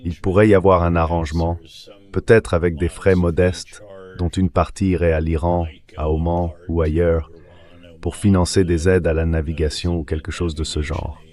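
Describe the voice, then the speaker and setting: Deep-voiced man giving measured opinions. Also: level tone, warm tone. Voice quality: AI-generated translation voice.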